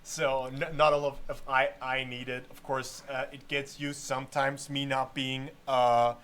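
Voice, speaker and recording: A man's voice: mid-range voice, flat delivery, measured pace, a bit bright, a little hiss or hum. Joking non-native English man, moderating. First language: not English. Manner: joking, laughs between remarks. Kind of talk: casual chat.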